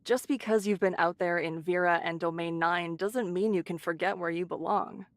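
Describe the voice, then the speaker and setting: Actress as brisk shelter boss, lowish female voice, teasing, motherly scolding, anime game dub, dry close studio sound. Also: fairly even intonation, dry recording, close to an everyday voice. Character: caring, scolding, brisk woman who leads a shelter. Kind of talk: English anime game voice acting.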